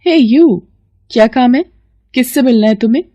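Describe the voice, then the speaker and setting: A woman plays a haughty fashion house manager in an animated moral story. She talks down to people with open scorn. The tone is mellow but condescending and mocking. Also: a little high, moderate pace, muffled recording, soft background music, voice pushed up, natural voice. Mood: brusque.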